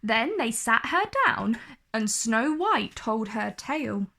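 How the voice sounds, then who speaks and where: A woman reading a scripted story aloud for children, in an expressive, deliberate storytelling style. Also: on the high side, vocal fry.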